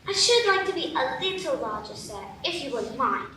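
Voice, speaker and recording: A woman's voice: high-pitched, very animated, normal speed, bright, forceful tone, heavily acted. A woman voicing a polite, earnest little girl in a live radio play, speaking in a prim, proper way. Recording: roomy stage from a distance, faint hiss.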